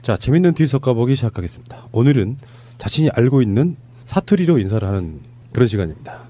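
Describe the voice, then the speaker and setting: A man's voice: medium pitch, sing-song, fast talker, warm tone, faint music behind. A man hosting a casual panel chat, joking and teasing his guests. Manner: joking, teasing, laughs often. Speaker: host.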